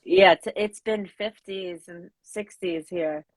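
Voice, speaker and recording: A woman's voice: lowish voice, slow pace. A young woman hosting a live talk show over a video call, bubbly and playful, laughing often. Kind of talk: casual live interview chat.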